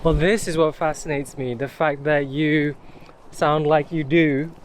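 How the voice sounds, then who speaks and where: A man's voice: on the high side, fairly even intonation, unhurried, a little hiss or hum. A man hosting a casual interview while walking outdoors, asking eager, curious questions. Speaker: podcast host. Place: outdoors in a park.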